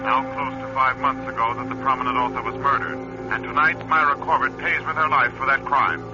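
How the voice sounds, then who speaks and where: Vintage newscaster, fast grave delivery, muffled broadcast sound, music behind. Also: male voice, medium pitch, fairly even intonation, bright, forceful tone. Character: brisk male radio newsman reporting gravely. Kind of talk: 1940s newscast.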